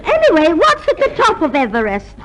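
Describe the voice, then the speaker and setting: A woman's voice: extremely high pitch, huge pitch swings, fairly quick, noisy background, heavily acted. A woman playing a dim, prudish and disapproving character in old radio comedy style. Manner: scandalised, disapproving.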